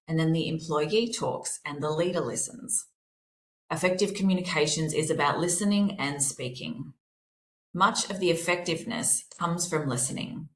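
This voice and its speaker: A woman with a slightly hoarse voice, presenting a workplace seminar in a measured, explanatory way. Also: low voice, echoey room, poor audio. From Australia.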